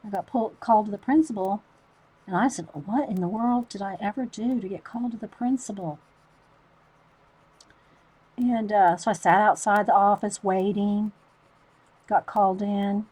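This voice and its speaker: A woman with a loud voice, chatting casually to livestream viewers while she shows a coloring technique. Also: medium pitch, moderate pace, pauses often, husky, rough recording. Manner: rambling, talks to chat viewers by name.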